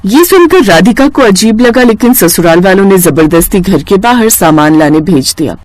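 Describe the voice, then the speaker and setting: A woman's voice: medium pitch, lively intonation, normal speed, a little mellow. A woman storyteller reading a scripted moral tale.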